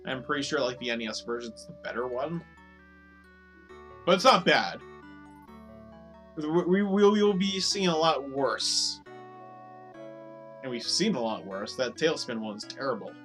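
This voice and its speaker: Slightly hoarse man streaming, rambling chat. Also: medium pitch, animated, measured pace, pauses often, music in the background. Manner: rambling, filler-heavy, repeats words. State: slightly hoarse, losing his voice. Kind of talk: casual live-stream chat over gameplay.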